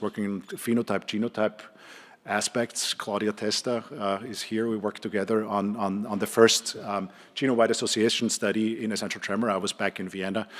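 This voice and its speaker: A middle-aged man with an Austrian accent giving an informal conference presentation, haltingly, with repeated words and many hesitations. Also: on the low side. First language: German. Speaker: conference speaker, neurologist and clinical trialist. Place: conference hall, speaking to an audience. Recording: reverberant hall sound.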